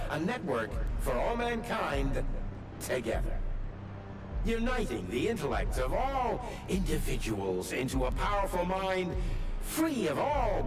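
An actor playing a grandiose Soviet scientist giving an oratorical, persuasive propaganda speech in a video game. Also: male voice, on the high side. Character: grandiose visionary Soviet scientist, director of a facility. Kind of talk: scripted public propaganda speech. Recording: roomy distant public-address sound under loud music.

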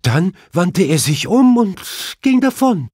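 A man's voice: high-pitched, sing-song, slow pace, a little mellow, slightly rough, no room sound, studio quality, voice pushed up, heavily acted. A man voicing a distraught, weeping widow in an audiobook, shaken and tearful.

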